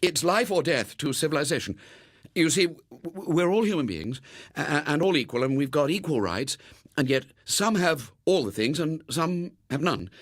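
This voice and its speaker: A man's voice: fairly high pitch, animated, normal speed, no echo, studio quality, pitched above the performer's own voice. A man voicing an earnest, flustered, self-righteous young British man who stammers and pleads, in a dramatised audiobook. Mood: desperate, pleading.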